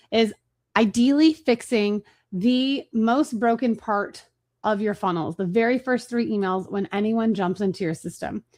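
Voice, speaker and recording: A woman hosting a marketing webinar, talking fast and casually with fillers and restarts. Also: a little high. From Chicago, USA. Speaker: webinar host.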